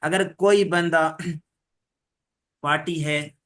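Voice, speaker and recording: Male tutor with a fairly high voice, steady and even delivery, explaining legal points to a class. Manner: explains in Hindi mixed with English legal terms, reads rules slowly. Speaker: teacher. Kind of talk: online law lecture.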